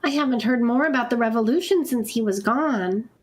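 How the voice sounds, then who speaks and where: A woman doing a very high-pitched young daughter. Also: a little fast, natural voice. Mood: wondering. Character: child daughter in a fanfiction reading. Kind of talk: comic amateur read-aloud of fiction.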